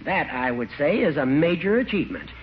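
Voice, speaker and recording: An actor playing a pompous, wry older judge in a radio comedy, speaking in a formal, dryly precise way. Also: male voice, a little high, sing-song, brisk. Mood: dry, needling. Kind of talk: old-time radio comedy acting. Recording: muffled vintage radio with loud hiss.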